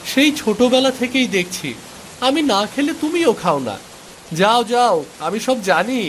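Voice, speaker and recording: A man's voice: very high voice, very animated, normal speed, a bit bright, a little hiss or hum, exaggerated voice. A man voicing a good-natured, humble young village shop boy in a cartoon, eager and affectionate. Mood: lively, hungry. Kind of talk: cartoon voice acting. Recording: music under the voice.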